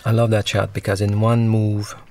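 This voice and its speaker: Low, gravelly man doing film commentary. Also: level tone, normal speed, background noise. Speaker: filmmaker giving commentary on own film. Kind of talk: unscripted film commentary, conversational. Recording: film soundtrack music playing behind. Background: film soundtrack music.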